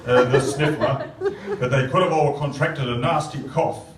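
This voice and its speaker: A man's voice: mid-range voice, normal speed, noisy background, lightly acted. A man playing a vain, self-important superhero who declaims grandly and earnestly in a live stage comedy. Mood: boastful. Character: vain, boastful, self-important male superhero with heroic airs. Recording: echoey theatre, distant microphone.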